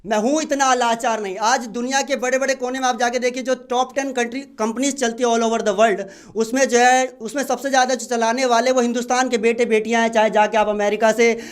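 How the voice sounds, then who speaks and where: Male open-mic poet with a very high voice, declaiming verse and repeating key lines. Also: smooth. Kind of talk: patriotic poetry recitation at an open mic.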